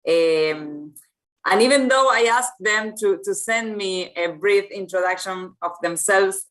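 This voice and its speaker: Brief: woman, moderating a panel, halting and filler-heavy. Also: medium pitch, fairly bright tone, rough recording. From Argentina. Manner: hesitant, searching for words, many fillers.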